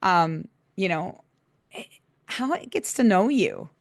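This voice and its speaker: Hoarse, raspy woman podcast host. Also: medium pitch, expressive, slow, dry recording, studio quality. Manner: chatty, laughs often, many fillers. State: hoarse from laryngitis. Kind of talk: casual chat.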